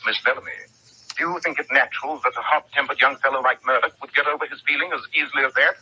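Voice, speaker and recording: An actor playing a brisk gentleman detective, polite but probing and commanding, in an old-time radio drama. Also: mid-range voice, fairly even intonation, husky. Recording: thin, noisy vintage radio recording.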